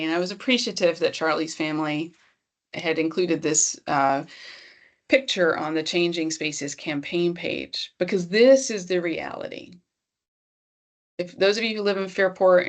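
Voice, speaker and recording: A woman presenting an online accessibility workshop in a conversational, encouraging way, speaking straight to her audience. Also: on the low side.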